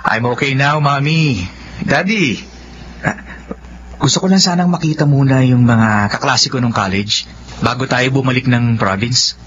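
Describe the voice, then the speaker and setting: A man plays a soft-hearted, respectful young Filipino man in a radio drama. His pitch is average. The recording is dull and faintly noisy. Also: measured pace, pauses often, natural voice. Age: young adult. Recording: dull lo-fi audio with faint noise.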